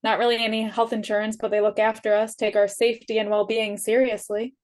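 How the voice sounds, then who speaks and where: A woman's voice: fairly high pitch, flat delivery, a little fast, darkish tone, natural voice. A woman reading the part of a guarded, principled sixteen-year-old emo shop worker, blunt and dry, in a drama table read. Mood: defensive.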